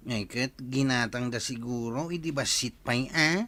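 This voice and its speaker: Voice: mid-range voice, unhurried, fairly bright tone, lightly acted. A voice actor playing a villager explaining gossip in a matter-of-fact way.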